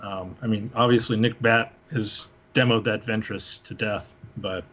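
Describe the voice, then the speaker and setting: Man hosting, halting and casual. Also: lowish voice, fairly even intonation, pushed and bright. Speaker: panel host, synth musician. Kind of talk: casual gear show-and-tell.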